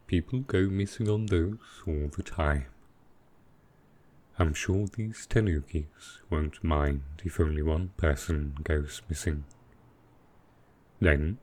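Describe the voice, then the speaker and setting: A man playing a gentle, earnest dragon boyfriend in an intimate roleplay audio, musing softly and naively. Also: a bass voice, full character voice. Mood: protective, mock-menacing.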